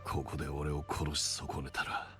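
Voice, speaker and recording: A man's voice: very deep voice, monotone, fast talker, music in the background. An actor playing a bitter, defiant male warrior with a scornful, intense manner in an anime game. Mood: bitter, threatening. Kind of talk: anime game voice acting in Japanese.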